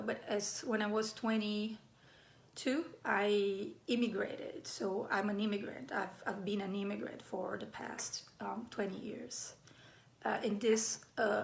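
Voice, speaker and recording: A woman in her forties from Brazil, with a Brazilian Portuguese accent, giving a live online talk as a playwright and often pausing on fillers and restarts. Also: mid-range voice, creaky. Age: 40s. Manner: hesitant, many fillers and restarts. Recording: roomy echo.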